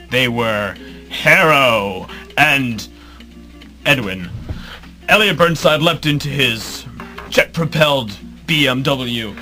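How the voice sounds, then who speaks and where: A man's voice: mid-range voice, lightly acted. A man playing a theatrical announcer, narrating in the mock style of an old-time radio serial.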